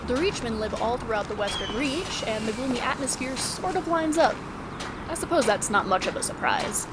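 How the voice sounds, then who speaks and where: A woman playing a lively female companion character in a fantasy game. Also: fairly high pitch, normal speed, very noisy, lightly acted. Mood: conversational.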